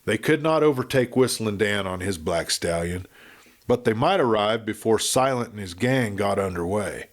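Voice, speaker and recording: A man narrating a Western audiobook with expressive, dramatic storytelling. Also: fairly low pitch, normal speed.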